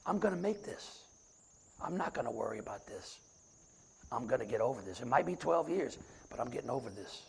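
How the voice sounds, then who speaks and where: A middle-aged man with a raspy voice, a deacon preaching a sermon and reciting scripture from memory. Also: medium pitch, sing-song, a little fast.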